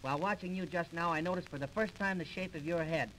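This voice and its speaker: A man's voice: a little high, quick pace, a bit bright, slight background noise, rough recording. A man trading comic patter as half of a duo in a 1930s radio comedy. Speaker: comedy duo partner and singer. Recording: early 1930s radio transcription disc.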